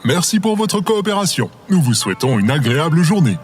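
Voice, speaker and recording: A man playing a polite, impersonal automated announcer in an audio drama, with formal, measured phrasing. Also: fairly high pitch, big pitch swings, over music.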